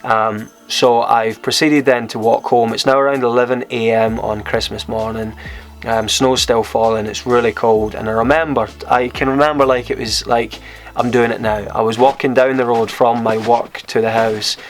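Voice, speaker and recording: A man's voice: mid-range voice, fairly even intonation, normal speed, faint music behind. A young Scottish man vlogging, telling a personal story to camera in a candid, rambling way. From Scotland. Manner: rambling, candid, many fillers and restarts.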